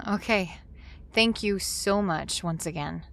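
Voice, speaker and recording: Casting: courteous, curious newcomer in sci-fi audio drama, medium-pitched, a little hesitant. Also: female voice, takes it slowly, gravelly, fairly bright tone. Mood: polite, curious. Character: polite, curious female visitor to a strange city.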